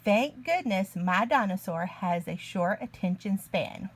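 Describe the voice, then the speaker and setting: A woman reading a picture book aloud to young children in an expressive, deliberate way. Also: mid-range voice. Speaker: children's story reader, early learning teacher.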